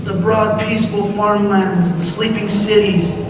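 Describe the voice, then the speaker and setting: High-pitched, anguished condemned narrator in cavernous echo. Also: male voice, little pitch movement, unhurried. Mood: awed. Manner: impassioned, theatrical, florid declaiming. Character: anguished condemned man narrating a night among ghosts. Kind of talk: old-time radio theatre acting. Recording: very echoey, distant, noisy radio play.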